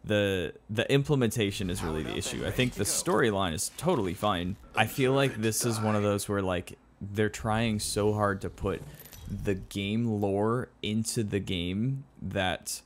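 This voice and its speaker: A man with a somewhat low voice talks casually over gameplay. He is conversational and opinionated, like a streamer sharing his take. There is noticeable noise in the background of the recording. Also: a little slow. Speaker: video game streamer. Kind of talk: casual gaming commentary.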